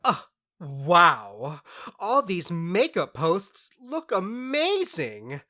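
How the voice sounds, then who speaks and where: A playful mischief-making fairy in a comedic audio drama. She talks unhurriedly and casually, with very animated intonation. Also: lowish voice, extremely slow, heavily acted. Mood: amused.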